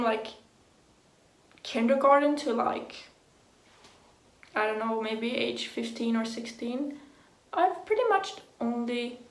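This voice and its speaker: Young woman, Swedish accent, English not her first language, gravelly fry, unhurried, casual swearing, echoey room. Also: fairly high pitch. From Sweden. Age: young adult. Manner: casual, swears freely, heavy use of "like", nervous laughs. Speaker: vlogger. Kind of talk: personal confessional talk to camera.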